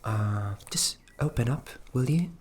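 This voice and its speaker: A performer voicing a flustered, kindly fairy magic specialist in a fantasy ASMR roleplay, speaking softly and hesitantly, often dropping into a whisper. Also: medium pitch, animated, a little hiss or hum, close to an everyday voice. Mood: helpful, gentle. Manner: hesitant, halting, repeats words, often whispering.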